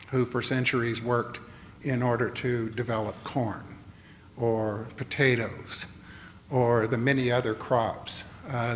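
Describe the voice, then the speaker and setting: Older man lecturing in a reverberant hall. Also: medium pitch. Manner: halting, many fillers and restarts. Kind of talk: public lecture. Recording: long hall reverb, faint noise.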